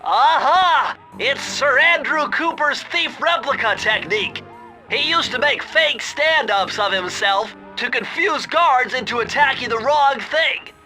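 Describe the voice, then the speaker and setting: A man's voice: extremely high pitch, animated, unhurried, bright, forceful tone, faint music behind, exaggerated voice, low-quality recording. A man voicing a brainy, nerdy young cartoon turtle in a video game, precise and bookish as he gives instructions. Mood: pleased.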